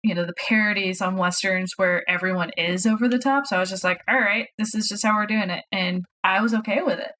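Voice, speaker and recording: A woman's voice: mid-range voice, quick pace, hardly any pauses, fairly bright tone, slight background noise. A woman from the American South chatting casually as a podcast co-host. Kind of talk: casual chat.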